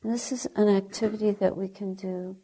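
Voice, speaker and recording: A woman teaching guided meditation in a soft, breathy, gentle way, speaking in short deliberate phrases with long pauses. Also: medium pitch, steady tone, rough recording. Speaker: Buddhist nun, meditation teacher.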